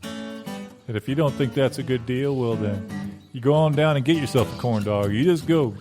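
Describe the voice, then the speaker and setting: A man's voice: normal speed, lightly acted. A man improvising a folksy preacher who deadpans hellfire and used car deals in a parody radio ad. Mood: righteous selling. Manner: homespun, deadpan mix of sermon and sales pitch. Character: folksy fire-and-brimstone Baptist preacher selling used cars. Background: guitar music.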